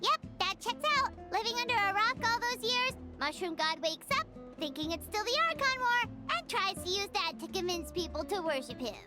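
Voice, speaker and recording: A woman voicing a small, excitable, childlike fairy companion in an anime game, with a squeaky, chirpy and blunt delivery. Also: moderate pace. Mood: wry. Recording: loud game music behind. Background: playful game music.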